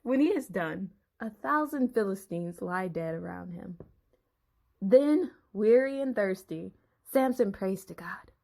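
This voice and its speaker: A woman's voice: mid-range voice, animated, measured pace, gravelly, warm tone. A woman telling a Bible story in a theatrical, suspenseful narrating style.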